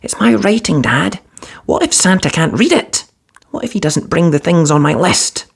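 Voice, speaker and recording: A man voicing an anxious little penguin boy in a children's storybook, pleading and breathy, often half-whispered. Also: expressive, moderate pace, full character voice. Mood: worried. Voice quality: high, breathy and worried.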